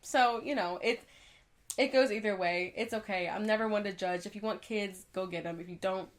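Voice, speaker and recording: A woman's voice: medium pitch, moderate pace, a crowd in the background. A young woman, co-hosting a podcast in a casual chat, congested, laughing often and talking with lots of fillers. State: congested, dry throat. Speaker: podcast co-host.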